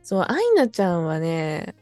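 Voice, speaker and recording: A woman's voice: low voice, expressive, moderate pace, mellow, clear, resonant tone, no echo, soft background music. A woman talking casually as a co-host on a remotely recorded show. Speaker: podcast co-host and voice-drama maker. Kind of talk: casual chat.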